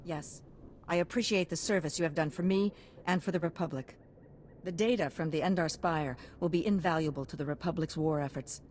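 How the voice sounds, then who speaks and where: A woman playing a formal, courteous military commander in a video game, measured and official. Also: sits low, a little mellow, a little hiss or hum, lightly acted. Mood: formal, grateful.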